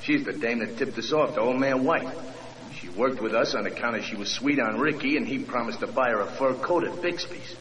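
An actor playing a defensive, sullen hoodlum in 1940s radio style, with slangy, ungrammatical tough talk. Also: male voice, mid-range voice, fairly quick, a bit bright, lightly acted. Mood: sore, sullen. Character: sullen, defensive small-time hoodlum in a cell. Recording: distant, hissy old radio recording.

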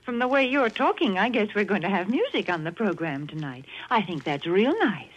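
A woman's voice: medium pitch, lively intonation, a little fast, breathy edge. Cheerful woman reading a radio ad. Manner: cheerful, persuasive. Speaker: co-host and commercial announcer. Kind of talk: 1940s radio sponsor reading. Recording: dull 1940s radio broadcast.

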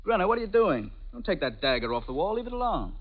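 A man's voice: mid-range voice, sing-song, fast talker, background noise. An actor playing a suave man caught between his wife and his mistress, in 1940s radio style. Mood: uneasy. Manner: suave, then nervous. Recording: dull old radio recording with music.

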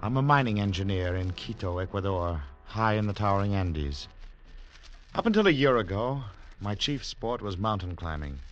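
An actor playing a troubled mining engineer and former climber in a 1940s radio drama, intense and articulate. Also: male voice, fairly low pitch, big pitch swings, normal speed, lo-fi sound. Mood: grave, measured. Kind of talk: 1940s radio drama acting, first-person narration.

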